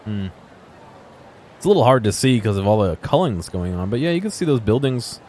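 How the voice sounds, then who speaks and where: A man with a fairly low voice gives loose, casual gameplay commentary. He thinks out loud, trailing into fragments and asking himself questions. Some background noise of city streets and traffic from the game sits under his voice. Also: very animated. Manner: musing, thinking aloud in halting fragments and self-directed questions. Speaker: video game streamer.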